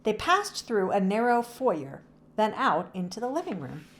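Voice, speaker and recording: A woman reading a children's story aloud as narrator, in an expressive, animated storytelling manner. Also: medium pitch, normal speed.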